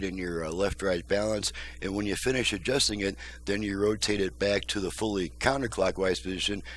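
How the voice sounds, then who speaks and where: A man's voice: fairly low pitch, pushed and bright. A middle-aged or older man giving a casual, methodical step-by-step demonstration as a repair technician. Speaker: car radio repair technician and presenter. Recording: noisy home recording with hum.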